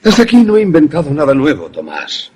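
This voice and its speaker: Husky, animated old professor played by a man. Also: on the high side, full character voice. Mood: didactic, proud. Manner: didactic, deliberate, emphatic explanations. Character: older male professor, obsessive inventor, didactic and troubled. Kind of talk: Spanish-language suspense radio drama acting.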